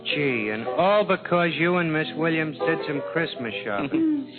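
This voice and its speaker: Casting: gee-whiz comic sidekick, high-pitched, folksy, forties radio serial, thin tinny broadcast sound. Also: male voice, very animated, measured pace. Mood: eager, amazed. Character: naive, eager, folksy male sidekick, easily amazed. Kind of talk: 1940s radio drama acting. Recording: tinny vintage 1940s radio broadcast, music.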